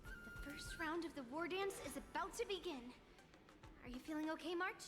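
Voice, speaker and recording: Game casting: bold girl swordmaster, high lively voice, breezy authority, music underneath. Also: full character voice. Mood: eager. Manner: brisk, breezy, commanding. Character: confident, spirited young girl swordmaster mentor.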